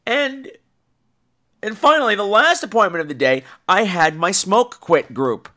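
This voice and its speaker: A man's voice: sits high, measured pace. A man hosting a podcast, ranting casually and profanely about his day while losing his voice to a coming cold. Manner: profane, exasperated, animated ranting. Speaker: horror podcast host. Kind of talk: casual solo podcast rant.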